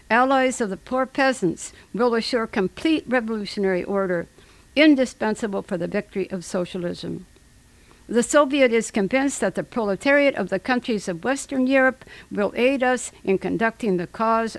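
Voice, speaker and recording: A woman giving a dramatic radio reading of an eyewitness account, declamatory and measured, with deliberate pauses. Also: medium pitch, creaky, no echo, poor audio.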